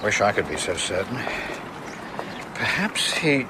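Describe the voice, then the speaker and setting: An actor playing a loyal, troubled gentleman friend in a radio drama, earnest and formal. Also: on the low side, sing-song, unhurried, noisy background. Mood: uneasy.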